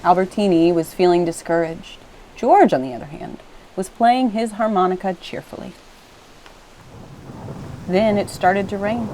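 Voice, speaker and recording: A woman reading a picture book aloud as an expressive storyteller. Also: mid-range voice, a little slow, long pauses, slight background noise.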